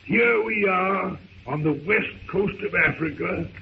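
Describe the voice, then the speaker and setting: An actor playing a prim, literal-minded elderly scholar, speaking with precise, formal courtesy in old radio drama style. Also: male voice, high-pitched, measured pace, gravelly. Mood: prim, amazed. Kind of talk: 1930s radio drama acting. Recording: muffled, hissy old radio recording.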